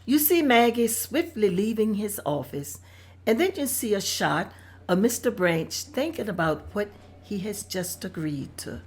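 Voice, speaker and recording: A woman's voice: medium pitch, big pitch swings, unhurried, husky. A woman reading out scene directions at a script table read in a plain, descriptive manner.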